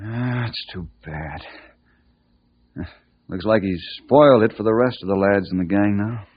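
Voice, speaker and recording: A man's voice: lowish voice, measured pace, breathy edge. An actor playing an earnest, kindly American man who mentors boys, in an old-time radio drama. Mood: concerned, friendly. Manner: reassuring, wholesome, earnest. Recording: muffled old radio broadcast recording.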